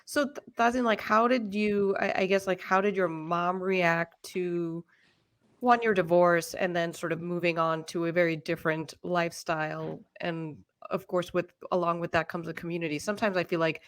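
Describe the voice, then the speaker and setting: A woman's voice: fairly low pitch, fairly even intonation, normal speed. A woman podcast host asking questions in a thoughtful, hesitant way, with many "like" and restarts. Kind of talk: casual interview chat.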